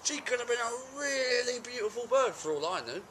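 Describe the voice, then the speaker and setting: A man acts out an aggrieved, cheeky husband justifying himself in a comic stage monologue. His voice is pushed high and bright, rising and falling a lot in an indignant, wheedling way. The amateur recording has faint background noise. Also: very animated, moderate pace. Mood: defensive, wheedling. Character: cheeky, aggrieved working-class husband making flimsy excuses.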